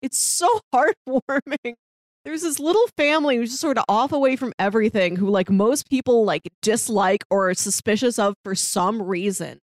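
A woman co-hosting a podcast, talking casually and enthusiastically in an animated, chatty way. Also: on the high side, moderate pace, dry recording, studio quality. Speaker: podcast co-host. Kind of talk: casual discussion of a TV drama.

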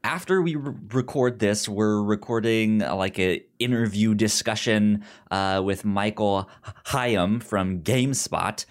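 A podcast co-host chatting casually about film, speaking haltingly with repeated words and frequent restarts. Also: medium pitch.

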